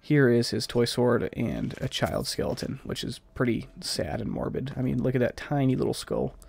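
Casual man with a creaky, scratchy voice. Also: mid-range voice, very animated, normal speed, darkish tone. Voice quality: scratchy, slightly stuffed-up. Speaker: let's play host. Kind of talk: casual gameplay commentary.

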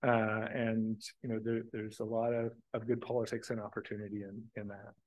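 A middle-aged man giving a conference keynote from a podium in a lecture room, thoughtful and halting, restarting his phrases. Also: fairly low pitch, level tone, dull audio. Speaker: keynote speaker. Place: lecture room with large windows. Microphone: podium microphone.

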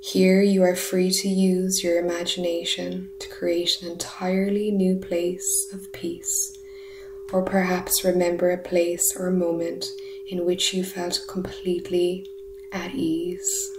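A woman leading a guided meditation in a soft, soothing, breathy voice, with short unhurried phrases. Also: lowish voice. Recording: roomy echo, steady humming background noise. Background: humming sound bath tones.

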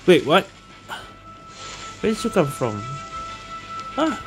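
A man's voice: high voice, wildly swooping pitch, drawn-out words, poor audio. A man doing casual gameplay commentary, muttering his reactions and reading game text aloud. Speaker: gaming video host playing an action RPG. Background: game music and effects.

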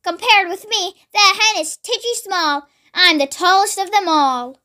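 A woman voicing a boastful old grandmother who shouts and crows, in a storybook reading. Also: very high voice, measured pace, heavily acted. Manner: shouting, crowing.

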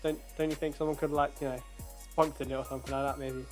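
Young male prank-call host, casual delivery, voice a little high, music playing clearly underneath. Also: flat delivery, quick pace. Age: young adult. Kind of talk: casual chat and prank calls. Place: small bedroom, indoors.

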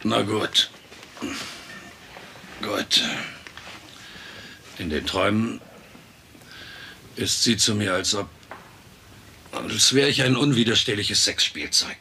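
A voice actor playing a gruff, flustered middle-aged husband and father in a dubbed sitcom, blustering and evasive. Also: on the low side, takes it slowly, long pauses, pushed and bright, a little hiss or hum, exaggerated voice. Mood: reluctant, embarrassed. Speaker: voice actor in a German sitcom dub.

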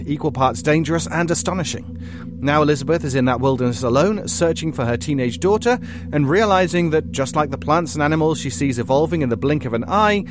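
A man's voice: fairly high pitch, lightly acted. A man playing an earnest, wry co-host of an audio drama show, formal and wordy in scripted banter.

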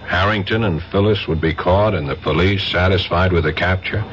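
An actor playing a stern, bitter federal detective in a 1970s radio drama. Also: male voice, low voice, normal speed, fairly bright tone. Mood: cold, bitter. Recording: dull, noisy old radio recording.

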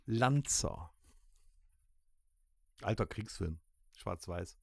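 Gravelly, animated man bantering on a podcast. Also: lowish voice, drawn-out words, no room sound, clean studio sound. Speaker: podcast co-host. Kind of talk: casual banter, movie-title word game. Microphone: close podcast microphone.

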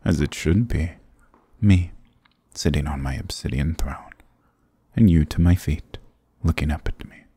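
Male actor as smug demon king, dark mellow tone, breathy, sarcastic, dominant, playful condescension, intimate ASMR roleplay. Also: unhurried, long pauses, heavily acted. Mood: mocking. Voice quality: very deep, gravelly, breathy. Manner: smug, teasing, condescending, drifting into whispers.

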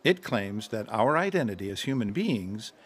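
An actor playing an earnest pastor leading a Bible study in a radio drama, measured and explanatory. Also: male voice, lowish voice, very animated, husky.

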